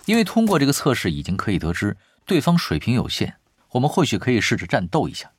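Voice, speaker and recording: Cool male gamer leader, very animated delivery. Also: medium pitch, fast talker, husky. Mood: amused, confident. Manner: offhand, self-assured, explanatory. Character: cool, confident male gamer tactician, casually teasing team leader. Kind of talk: Chinese radio drama acting. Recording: background music under the voice.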